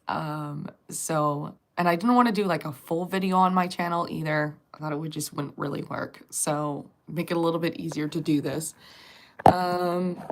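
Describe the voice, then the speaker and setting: A woman talks casually to her live stream chat, her voice raspy and stuffed up from a lingering cold. She sits a little low in pitch and wanders from thought to thought, backtracking and correcting herself. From Canada. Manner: rambling and self-correcting. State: congested from a cold.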